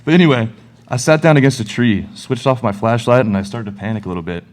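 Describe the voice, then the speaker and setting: A young man playing a cocky musician in a radio play. He has a mid-pitched voice and tells his monster story with dry, slangy delivery. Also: quick pace, lightly acted. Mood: dramatic recounting. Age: young adult.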